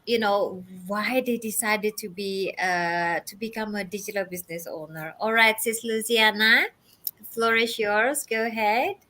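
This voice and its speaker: A woman's voice: mid-range voice, measured pace, bright, forceful tone. A middle-aged woman from Bali with an Indonesian accent, hosting a live online talk. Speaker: host.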